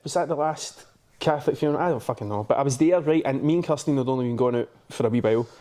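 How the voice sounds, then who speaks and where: A man's voice: medium pitch, rapid-fire, dark tone. A Scottish man co-hosting a podcast, telling stories in casual, sweary chat and laughing often. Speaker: podcast co-host.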